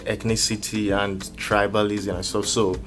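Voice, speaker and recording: A man with a Nigerian accent answering casually as an interview guest, explaining things haltingly. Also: on the low side, flat delivery, a bit bright. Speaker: music producer, interview guest. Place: music studio. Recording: music playing behind the voice.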